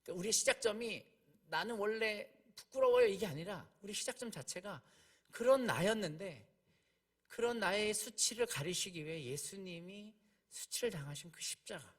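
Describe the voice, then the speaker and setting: A man preaching a sermon, emphatic, slowing down on key phrases and asking rhetorical questions. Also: sits high, lively intonation, slightly rough. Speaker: preacher, junior pastor.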